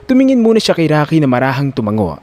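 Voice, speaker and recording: A man's voice: fairly high pitch, sing-song, fast talker, creaky, darkish tone, faint music behind. A man narrating a scripted audio drama in a dramatic storytelling style.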